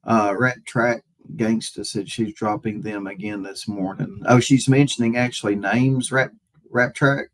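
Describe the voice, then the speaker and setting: A man hosting a casual livestream, talking to viewers in a halting, hesitant way with repeated phrases and a husky voice. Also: lowish voice, fairly even intonation, rough recording.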